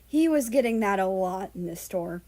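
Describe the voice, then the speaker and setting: A woman reads a story aloud as narrator. Her pitch sits in the middle range for a woman, and she delivers the text as storytelling narration. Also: animated, moderate pace, mellow. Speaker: fan fiction reader.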